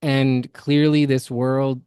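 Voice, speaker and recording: Male podcast co-host, analytical and opinionated critic, middling pitch, dry studio-like sound with no room echo. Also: flat delivery, takes it slowly, dark tone, smooth. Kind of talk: critical reaction commentary.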